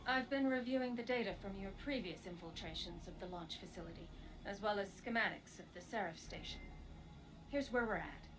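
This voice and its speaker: A woman playing a serious, methodical operations commander in a video game, briefing in a measured, resolute way. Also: medium pitch, lightly acted, poor audio. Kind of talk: scripted video game dialogue. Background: music.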